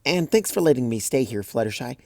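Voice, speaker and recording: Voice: mid-range voice, lively intonation, a little fast, vocal fry, a little mellow, dry recording, studio quality. A fanfic reader voicing an anxious, earnest young female unicorn pony. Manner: earnest, worried.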